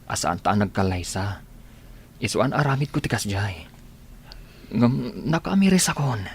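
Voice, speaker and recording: A man in a radio drama playing a remorseful lover, pleading earnestly and haltingly for a second chance. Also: on the low side, very animated, creaky, a little hiss or hum, exaggerated voice.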